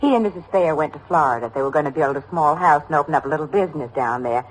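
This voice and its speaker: An actress playing a chatty, homely middle-aged American widow in a radio drama, rambling on eagerly to please. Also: female voice, low voice, animated, rapid-fire, a little hiss or hum, poor audio. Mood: matter-of-fact reminiscing. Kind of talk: old-time American radio drama acting.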